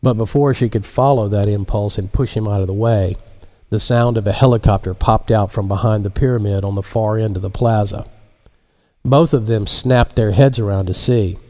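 A man in his fifties reading an audiobook in a measured, deliberate narrating style, close to a studio microphone. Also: on the low side, dark tone. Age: 50s. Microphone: large studio microphone close in front.

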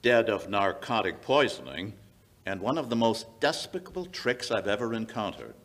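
A man playing a shrewd, commanding English detective with crisp authority in an old-time radio play. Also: lowish voice, big pitch swings, normal speed, fairly bright tone, heavily acted. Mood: grave, decisive. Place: small indoor stage, live performance. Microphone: vintage-style stand microphone.

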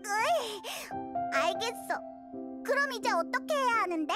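A woman voicing a tiny, naive, curious fairy girl in a video game, with a cutesy, expressive, childlike delivery. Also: extremely high pitch, a little slow. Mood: puzzled, reluctant. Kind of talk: video game character dialogue. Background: prominent game music.

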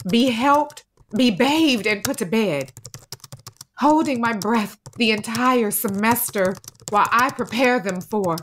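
A woman playing a dedicated, weary third-grade teacher in an audio drama, earnest and deliberate, leaning on repetition. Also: fairly high pitch, a little hiss or hum. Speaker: playwright and actor.

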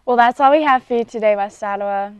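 A woman's voice: on the high side, quick pace, clear, resonant tone. A young woman anchoring a student news show, reading school announcements in a studio. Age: young adult. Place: studio with curtain backdrop.

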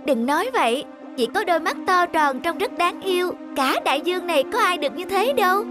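A woman's voice: extremely high pitch, lively intonation, a little fast. A woman voicing a kind young goldfish in a cartoon, reassuring and upbeat. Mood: comforting. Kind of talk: cartoon voice acting. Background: music.

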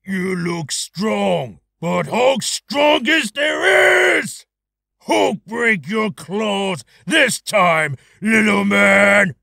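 A raging giant bellows slow, broken sentences with big swings in pitch. He is brutish and childlike, a game bruiser. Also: expressive, vocal fry, no echo, clean studio sound, heavily acted. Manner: shouting in broken, simple speech. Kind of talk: video game character voice reel.

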